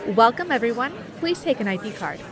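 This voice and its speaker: A woman plays a helpful schoolteacher in an audio drama. Her voice is fairly high and obliging, with a clear bustle of people behind. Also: big pitch swings, fairly quick, over music. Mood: polite, puzzled. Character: polite, helpful female schoolteacher. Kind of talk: amateur audio drama acting. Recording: busy crowd noise behind.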